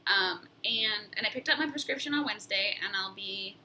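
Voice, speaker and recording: A woman's voice: mid-range voice, moderate pace, sharp tone, slight background noise, rough recording. A young woman with a nasal voice, talking casually and reflectively in a personal vlog, with frequent fillers and restarts. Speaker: vlogger.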